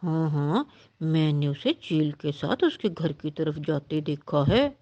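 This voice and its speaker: A woman's voice: low voice, slow pace, dark tone, muffled recording, voice pushed down. A woman voicing a helpful, cautious male pigeon who gives concerned advice in a cartoon story. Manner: concerned, advising.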